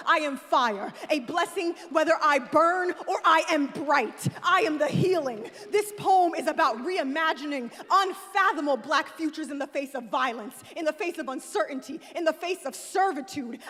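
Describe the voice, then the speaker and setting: High woman, spoken word poet, rhythmic and declamatory, large reverberant hall. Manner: rhythmic, declamatory, rising to shouts with call and response. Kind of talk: spoken word poetry performance.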